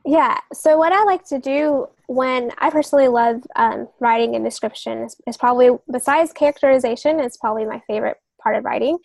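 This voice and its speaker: A woman's voice: a little high, brisk, vocal fry, few pauses, darkish tone, dry recording. A woman speaking as a guest novelist on a podcast, explaining her methods somewhat hesitantly and often rephrasing herself.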